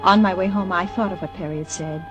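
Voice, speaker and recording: A woman playing an anxious, defensive secretary who lives alone, in old-time radio mystery drama, with fretful, halting, dramatic delivery. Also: lowish voice, steady tone, dull audio, natural voice. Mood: tense, brooding. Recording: vintage radio drama with music.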